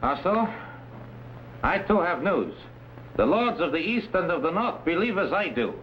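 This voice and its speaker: A man's voice: high-pitched, big pitch swings, moderate pace. A man playing an ambitious, treacherous Chinese warlord, speaking grandiose, stilted English in an old adventure serial. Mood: scheming. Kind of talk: 1950s TV adventure serial acting. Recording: dull old broadcast recording with hiss.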